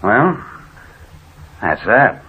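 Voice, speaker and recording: A man's voice: medium pitch, sing-song, drawn-out words. An actor playing an irritable, bossy man in a curt, exasperated manner, in 1940s radio drama style. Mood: irritated, curt. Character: irritable, bossy man stranded with his car. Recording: tinny, hissy old radio recording.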